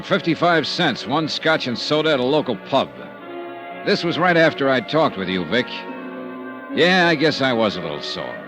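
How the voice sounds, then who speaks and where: A man plays a tough insurance investigator from an old radio crime drama, blunt and clipped as he questions people. His pitch moves around a lot within a mid-range voice, and music runs beneath the dialogue. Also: lightly acted. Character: terse, hard-boiled male insurance investigator. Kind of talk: 1950s radio drama acting.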